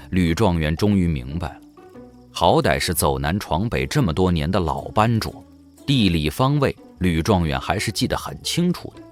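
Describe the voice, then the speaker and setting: A man narrating an audio drama in a dramatic, scripted storytelling style. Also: sits low.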